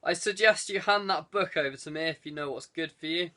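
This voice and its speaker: Man acting aristocratic male villain, smug, menacing, raised pitch, audiobook thriller. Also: brisk, sharp tone. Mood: smug, threatening.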